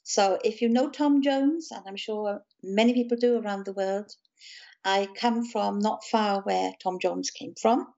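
A woman from South Wales with a South Welsh accent, talking calmly and gently as an interview guest about her music and books. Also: mid-range voice, unhurried, creaky, dull audio. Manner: gentle, calm, chatty with small laughs. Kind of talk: casual interview chat.

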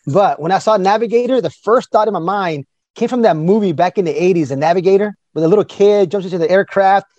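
A man's voice: high voice, a little mellow, no room sound, dull audio. A man in his forties hosting an interview, chatty and enthusiastic in a casual conversation. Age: 40s. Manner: enthusiastic, chatty, quick-talking. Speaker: podcast host.

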